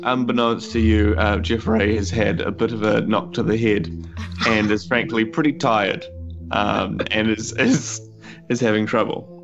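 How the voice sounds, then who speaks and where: A man with a New Zealand accent tells his part of a tabletop game in a low, gravelly voice. He is wry and wordy, picking his words haltingly, with soft music underneath. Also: fairly even intonation, highs cut off. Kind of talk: casual improvised storytelling. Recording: music playing behind the voice. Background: background music.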